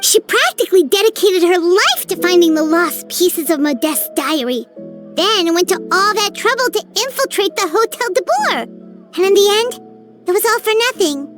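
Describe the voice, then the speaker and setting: A woman voicing a tiny, chirpy, excitable fairy-like female companion in a video game, bubbly and talking about herself in the third person. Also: extremely high pitch, moderate pace. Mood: wistful. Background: game music.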